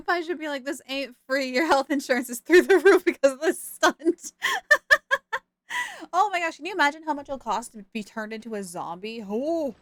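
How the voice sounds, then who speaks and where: A woman's voice: high-pitched, lively intonation, normal speed, no room sound. A woman streaming a game, giving casual, playful commentary as she reacts aloud to the story. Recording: game music behind the voice. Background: game music.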